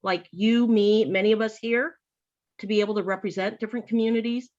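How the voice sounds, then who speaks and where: A woman's voice: mid-range voice, fairly even intonation, fairly quick, a little mellow, dull audio. A woman speaking earnestly in a panel discussion on advocacy work, often restarting her sentences as she thinks. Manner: earnest, thoughtful, with frequent restarts.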